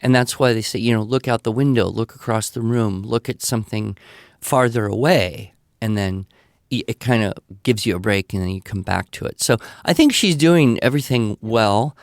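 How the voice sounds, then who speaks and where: A man's voice: fairly low pitch, moderate pace, no echo, studio quality. An older man with an American accent giving conversational advice in a reassuring, explanatory manner. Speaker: podcast expert answering listener questions.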